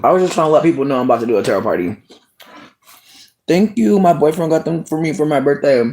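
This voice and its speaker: A person livestreaming casual chat with viewers, sassy and expressive with lots of swearing, talking while snacking. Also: medium pitch, fast talker.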